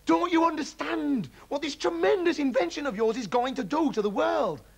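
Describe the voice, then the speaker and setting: A man voicing an excitable, scheming middle-aged farmer father who gushes about his plans. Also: high voice, moderate pace, creaky, husky, voice pushed up, heavily acted. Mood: overexcited. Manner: breathless, gushing enthusiasm.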